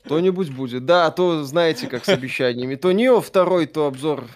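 A man's voice: on the high side, animated, a little fast. A man co-hosting a stream, reading donations aloud and thanking viewers, with dry joking remarks. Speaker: stream co-host. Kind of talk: casual chat reading donations.

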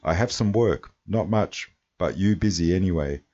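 A man's voice: sits low, normal speed, dark tone, dull audio. A man voicing a smiling, genial young male entrepreneur who speaks clipped, broken English. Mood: cheerful.

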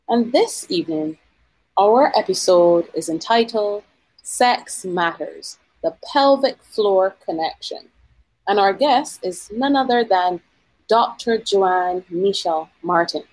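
A woman's voice: mid-range voice, dry recording, rough recording. Formal woman hosting a podcast. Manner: formal, measured delivery. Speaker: podcast host and physician. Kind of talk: scripted podcast introduction and interview.

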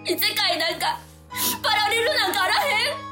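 A woman's voice: very high voice, lively intonation, moderate pace. A woman playing a determined, big-hearted female taxi driver with a Kyoto accent, emphatic and theatrical in a comic radio drama. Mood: angry scolding. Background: background music.

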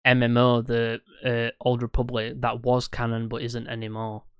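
A man's voice: medium pitch, monotone, normal speed, smooth, dry recording, studio quality. A man co-hosting a podcast in casual gaming chat, hesitant and often losing his train of thought. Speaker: podcast co-host.

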